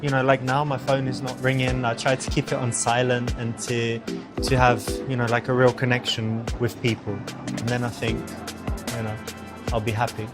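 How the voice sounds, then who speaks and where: A man playing a smooth, attentive dater and coach, talking in a conversational, reassuring way. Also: medium pitch, flat delivery, moderate pace, over loud music, natural voice. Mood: earnest. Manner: conversational, reassuring, uses "you know" often. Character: smooth, attentive man chatting up a woman on a date. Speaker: seduction coach and dating game presenter. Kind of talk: scripted date dialogue and coaching commentary.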